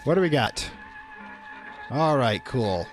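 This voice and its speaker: A man's voice: medium pitch, sing-song, takes it slowly, over music. A man hosting a gaming video, chatting casually and rambling as he thinks aloud during his let's play commentary.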